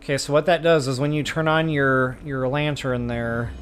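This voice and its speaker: A man's voice: mid-range voice, level tone, normal speed, slight background noise. A man giving casual, rambling video game walkthrough commentary as a host. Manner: chatty, informal, rambling.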